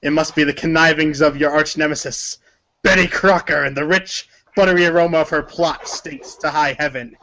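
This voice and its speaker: A man's voice: a little high, steady tone, moderate pace, sharp tone, muffled recording. A man narrating a story aloud in a theatrical, dramatic and emphatic manner. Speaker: narrator of a group reading.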